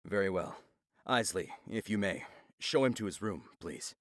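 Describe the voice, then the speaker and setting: Fantasy visual novel role, male actor: composed authority figure, deep voice, formal, courteous delivery. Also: expressive, fairly bright tone, no echo, studio quality. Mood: composed, apologetic. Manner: formal, measured, polite.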